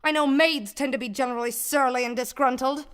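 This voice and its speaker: A ditzy damsel from a comic radio mystery played by a woman, with an extremely high, bright voice. She is gushing and melodramatic, in an old-time heroine style. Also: fairly even intonation, a little fast, smooth. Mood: concerned, prim. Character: dramatic, ditzy, always-hungry 1940s-style mystery heroine.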